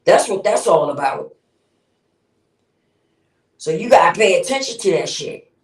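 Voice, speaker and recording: A woman's voice: fairly low pitch, big pitch swings, a little slow, recorded from a distance. A woman in her fifties talking bluntly and casually on a livestream, swearing freely and laughing often. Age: 50s. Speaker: livestream host. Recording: roomy sound, speaking away from the mic.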